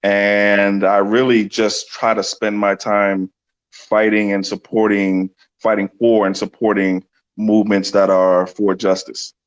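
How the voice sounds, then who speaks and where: Male guest, lower voice, earnest, thinks out loud. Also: flat delivery, measured pace, clear, resonant tone, highs cut off. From New York City. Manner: earnest, thinks aloud with ums and restarts. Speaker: guest, community organizer. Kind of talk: casual explanatory interview talk.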